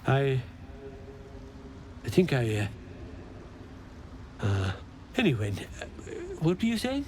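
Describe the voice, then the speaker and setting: An actor playing a confused, earnest mushroom forager in a video game, speaking in halting fragments that trail off and repeat. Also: male voice, medium pitch, wildly swooping pitch, darkish tone, slight background noise. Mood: dazed, muddled.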